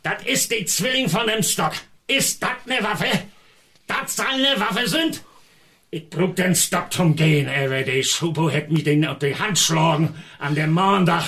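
An actor playing a gruff, hot-tempered, stubborn farmer with northern German dialect, blustering in a radio drama. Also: male voice, sits high, measured pace, bright, forceful tone. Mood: indignant, blunt. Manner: blustering, rustic dialect speech.

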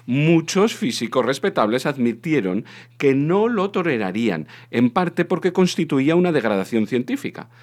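A man with an accent from Spain, a co-host reading comic fiction aloud in a theatrical, playful way. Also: medium pitch. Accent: Spanish from Spain. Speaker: show co-host and reader. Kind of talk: expressive reading of a comic science fiction novel.

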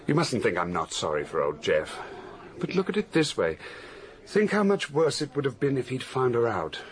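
An actor with an English accent playing an easygoing, cynical widower in a radio drama, frank and flippant in manner. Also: male voice, mid-range voice, wildly swooping pitch, moderate pace. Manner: flippant, candid, wry. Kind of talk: British radio drama acting.

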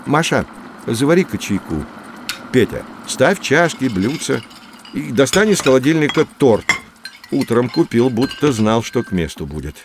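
A man's voice: mid-range voice, big pitch swings, moderate pace, background noise. A man acting as a genial host of a scripted children's audio programme, hospitably instructing the young guests. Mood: hospitable. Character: genial adult man hosting children at tea. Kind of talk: scripted audio play hosting.

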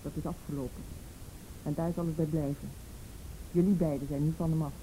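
An actress in a radio play playing a stern, composed woman who speaks gravely and firmly. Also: low-pitched, fairly even intonation, brisk, dark tone, a little hiss or hum, close to an everyday voice, poor audio. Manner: grave, firm. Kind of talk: naturalistic radio play acting.

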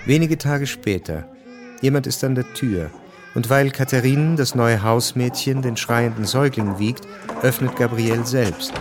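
A man reading scripted literary narration for a radio drama in a measured, composed way. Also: mid-range voice, noisy background. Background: music.

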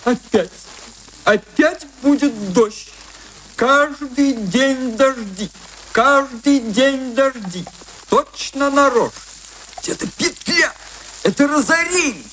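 Despairing little impresario, thin reedy tenor, slow self-pitying wail, wildly varied pitch, faint hiss behind. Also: male voice, expressive, a bit bright, exaggerated voice, poor audio. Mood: despairing lament. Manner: lamenting, dramatic, self-pitying. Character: small thin despairing theatre impresario, whiny complainer. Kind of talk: theatrical radio drama acting.

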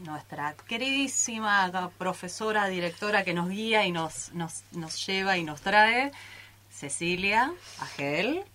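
A woman's voice: medium pitch, expressive, unhurried, bright, forceful tone. A woman chatting on live radio, repeating words as she searches for them. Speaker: radio theatre troupe member. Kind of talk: informal live radio chat. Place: radio studio.

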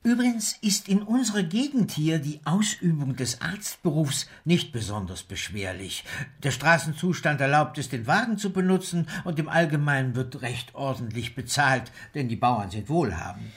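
Radio drama role: self-important small-town pharmacist, effusive talker, highish voice with big swings in pitch. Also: male voice, expressive, moderate pace. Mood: chatty, self-important. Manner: pompous, effusive, long-winded. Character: self-satisfied, talkative small-town pharmacist, eager to impress. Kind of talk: radio drama acting of a literary classic.

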